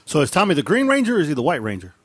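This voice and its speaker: A man's voice: fairly high pitch, huge pitch swings, racing, no echo. A man in his mid-forties on a casual podcast, joking, teasing and slangy. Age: 40s.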